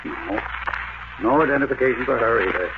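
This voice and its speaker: An actor playing a calm, matter-of-fact morgue attendant in a 1940s radio drama. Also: male voice, medium pitch, a little fast, narrow, tinny audio, natural voice. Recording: phone-thin old radio recording with loud hiss.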